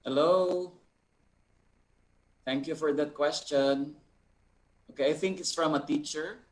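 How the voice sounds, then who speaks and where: A man, an instructor explaining an answer in a measured, deliberate way with pauses mid-phrase. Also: a little high, steady tone. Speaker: resource person, art instructor.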